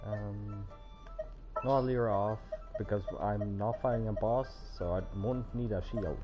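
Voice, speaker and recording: A man's voice: on the low side, fairly even intonation, unhurried, dull audio. A man livestreaming a game with casual commentary and wry asides to himself. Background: game music.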